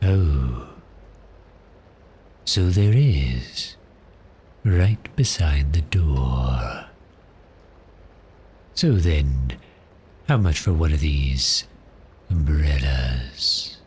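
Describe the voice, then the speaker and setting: A man playing a cheerful, courteous vampire posing as a customer, speaking politely and gently in an ASMR roleplay. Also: a bass voice, sing-song, slight background noise, heavily acted. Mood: politely curious. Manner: polite, unhurried, softly genteel with measured pauses.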